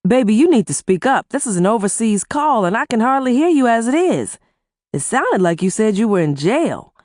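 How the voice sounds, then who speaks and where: A woman voicing a gruff, bossy husband. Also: on the high side, very animated, normal speed, a little mellow, dry recording, studio quality, pitched above the performer's own voice. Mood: alarmed. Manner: gruff and profane, scolding. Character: blunt, bossy husband, adult man, quick-tempered.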